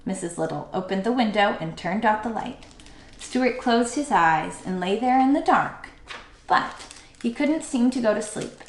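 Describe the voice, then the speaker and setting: A woman's voice: a little high, lively intonation, a little slow, echoey room, slight background noise. A woman teacher reading a children's story aloud as narrator.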